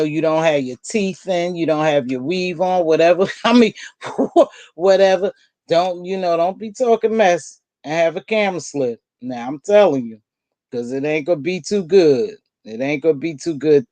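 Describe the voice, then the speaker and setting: A middle-aged woman with a deep voice, hosting a casual livestream from home and chatting bluntly with viewers, calling them baby and girl. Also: warm tone, no echo. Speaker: livestream host. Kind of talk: casual live chat, answering viewer comments.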